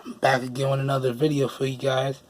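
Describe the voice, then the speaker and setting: A man with a hoarse voice that he says he is losing, giving a chatty, casual product review and often repeating phrases for emphasis. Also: medium pitch, monotone, fast talker.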